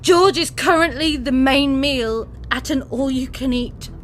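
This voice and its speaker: Casting: actress as hardened young survivor woman, audio drama, high voice, unhurried, sardonic and defiant. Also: a bit bright, a little hiss or hum. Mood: cold defiance. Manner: blunt, sardonic. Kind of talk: post-apocalyptic audio drama acting. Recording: light music under the voice.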